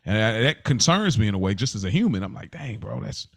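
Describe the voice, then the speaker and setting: A man's voice: lowish voice, very animated, fast talker, vocal fry. A man co-hosting a podcast in casual personal chat, talking colloquially and emphatically, repeating phrases as he reflects with empathy. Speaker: podcast co-host.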